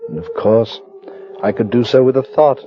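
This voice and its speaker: A man's voice: mid-range voice, close to an everyday voice. Guy acting a solemn all-powerful alien suitor, formal grand radio play delivery, muffled old recording w/ music under it. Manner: formal, measured, declamatory. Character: grave, ardent alien man with great powers, courting a human woman.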